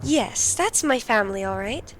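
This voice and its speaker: A woman's voice: fairly high pitch, expressive, normal speed, lightly acted. A woman voicing a mischievous, irreverent young aristocrat with a morbid streak in an audio drama, teasing, sarcastic and theatrical.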